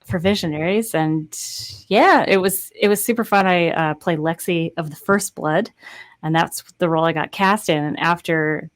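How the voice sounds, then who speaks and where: A woman in her thirties with a slightly low voice speaks informally on a video-call panel. She tells anecdotes casually. The recording is dry and close, made indoors at home. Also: moderate pace, no echo. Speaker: voice actor, panel guest.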